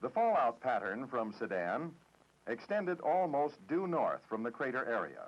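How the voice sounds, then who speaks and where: An American man reading a formal, measured, matter-of-fact script in the style of a 1960s government documentary narrator. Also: mid-range voice. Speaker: training film narrator. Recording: dull, dated 1960s film soundtrack.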